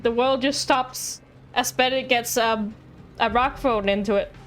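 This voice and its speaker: High-voiced woman streamer, playfully apologetic. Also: steady tone, measured pace, fairly bright tone. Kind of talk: casual game livestream commentary. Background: game music.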